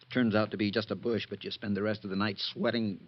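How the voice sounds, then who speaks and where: An actor playing a jittery, worn-down embezzler on the run, edgy and bitter, in 1950s radio drama. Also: male voice, on the low side, flat delivery, quick pace, no echo, close to an everyday voice. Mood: bitter, weary. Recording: muffled old radio recording.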